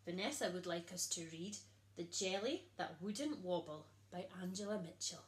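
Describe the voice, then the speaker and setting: A woman, reading a children's picture book aloud in an animated storytelling style. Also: on the low side, moderate pace. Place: small child's bedroom, indoors. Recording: echoey small room.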